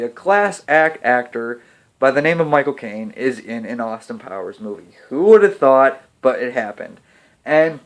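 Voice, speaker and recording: A man giving a casual video film review, chatty and hesitant with frequent pauses. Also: medium pitch. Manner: hesitant, lots of ums and restarts, chatty. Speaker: movie reviewer.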